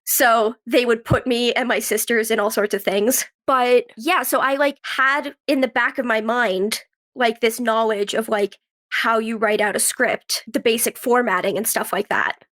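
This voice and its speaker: A woman in her twenties chatting casually as an interview guest, telling stories in an animated way with quoted asides. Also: a little high, a little slow. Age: 20s. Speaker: podcast guest, actress and writer.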